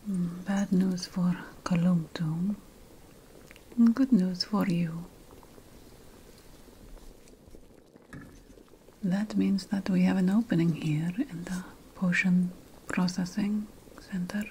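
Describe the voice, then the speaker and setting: Slow whispering ancient witch priestess, played by a woman. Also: on the low side, steady tone, dark tone, slight background noise. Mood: wry, brisk. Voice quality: breathy whisper. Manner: slow, solemn, deliberate. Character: grave ancient Sumerian witch priestess, soothing to her apprentice. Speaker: ASMR role-play performer. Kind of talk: whispered ASMR fantasy role-play.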